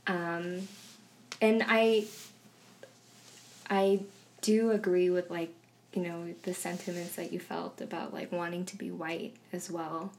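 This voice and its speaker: A woman's voice: lowish voice, flat delivery, slow pace, long pauses, darkish tone, a little hiss or hum, low-quality recording. A young woman telling a personal story in a discussion, hesitant, with many fillers and restarts. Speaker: guest.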